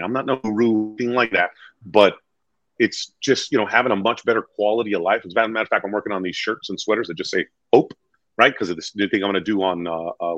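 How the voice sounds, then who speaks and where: Male interview guest: deep, earnest, emphatic storyteller with wide swings in pitch, recorded in a dull, lo-fi sound. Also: a bit bright, slightly rough, no echo. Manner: earnest, emphatic, acts out quoted dialogue. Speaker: real estate agent being interviewed as a guest.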